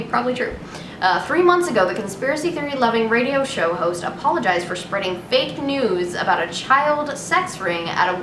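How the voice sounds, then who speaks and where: A young adult woman, hosting in a casual comedic style, chatting and reading news articles aloud with informal, giggly delivery. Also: mid-range voice. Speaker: host reading news headlines. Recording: roomy echo with clear background noise.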